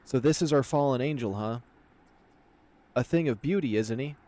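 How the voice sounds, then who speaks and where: A man's voice: medium pitch, normal speed, mellow, lightly acted. A man voicing a cocky, teasing lioness adventurer from a fantasy visual novel, casual and mocking.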